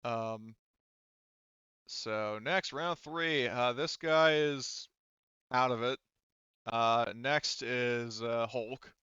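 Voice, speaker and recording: A man narrating a tabletop game as game master, casually and haltingly, with some swearing. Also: mid-range voice, fairly even intonation, sharp tone, clear, resonant tone, no room sound, lo-fi sound. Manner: halting, profane.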